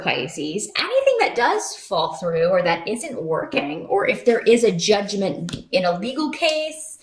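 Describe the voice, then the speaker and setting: A woman giving an unscripted tarot reading to viewers in a conversational, rambling, reassuring way. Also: mid-range voice. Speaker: tarot reader and channel host. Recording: roomy echo.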